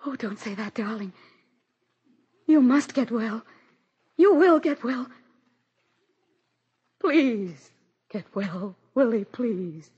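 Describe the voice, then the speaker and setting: An actress playing a grieving, high-strung president's wife in a theatrical, intense 1940s radio drama style. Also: female voice, expressive, slow, pauses often, a little mellow, husky, muffled recording, heavily acted. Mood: tender, pleading. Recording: old 1940s radio broadcast with music.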